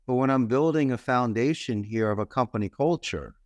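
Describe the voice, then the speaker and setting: A man's voice: medium pitch, fairly quick, no room sound. Emphatic Philly-accented man in his fifties. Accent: Philadelphia. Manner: emphatic, speechy delivery. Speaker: guest. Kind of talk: interview storytelling.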